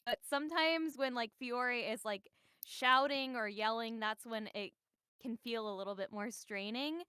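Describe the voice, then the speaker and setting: A woman voice actor with a naturally high voice, thoughtfully explaining her craft. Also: measured pace, bright, forceful tone, clear, resonant tone, no echo, studio quality. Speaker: voice actor on a panel.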